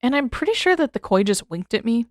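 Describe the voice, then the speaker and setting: A woman's voice: a little high, sing-song, quick pace, no room sound, clean studio sound. Woman doing a wry, practical teenage boy.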